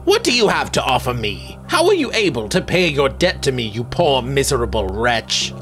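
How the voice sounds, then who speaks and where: Man acting a snappish old sea hag, high-pitched. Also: huge pitch swings, normal speed, fairly bright tone, faint music behind. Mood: agitated anger. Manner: snappish, imperious, scornful. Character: petite bitter old hag sea witch, cruel and scornful. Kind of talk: dramatic storybook reading.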